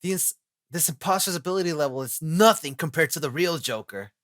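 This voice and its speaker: A man's voice: fairly high pitch, animated, fairly quick, a bit bright, voice pushed up. A man reading an indignant young man's line aloud in a comic read-through.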